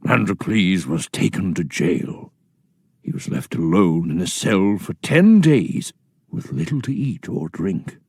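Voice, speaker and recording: A man's voice: low voice, big pitch swings, a little slow, darkish tone, slightly rough, no room sound, studio quality. A man reading scripted story narration as a narrator in a children's readers theatre.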